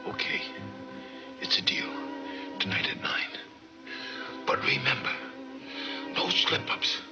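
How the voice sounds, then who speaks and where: An American actor playing a frightened, slangy gangster in a 1940s film serial, snivelling and stammering. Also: male voice, very high-pitched, sing-song, takes it slowly, in a whisper, exaggerated voice. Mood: nervous, hushed. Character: frightened, slangy male gangster under arrest. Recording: echoey old film soundtrack with music.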